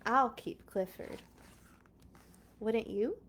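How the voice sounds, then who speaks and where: A woman reading a children's picture book aloud in an expressive, playful way, with chatty casual asides. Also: fairly high pitch, drawn-out words, slight background noise.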